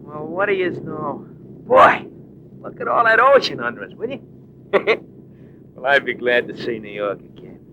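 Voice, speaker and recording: An actor playing an excitable, blunt tough-guy sidekick who talks in rough slang in a late-1940s radio drama. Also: a little high, moderate pace, long pauses, lo-fi sound, slight background noise, full character voice. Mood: relieved, chatty.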